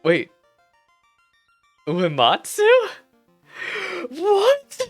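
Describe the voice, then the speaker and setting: Excitable streamer, mid-pitched voice, rambling live playthrough commentary over game music. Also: very slow. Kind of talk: reading game dialogue aloud with excited live reactions. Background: video game music.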